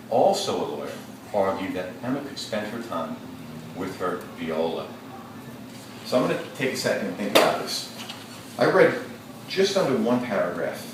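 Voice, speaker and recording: Man, teacher, reads aloud to a class, fairly low voice, echoey room, distant mic. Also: slight background noise. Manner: lively, engaging, questions the class. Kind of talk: interactive read-aloud lesson.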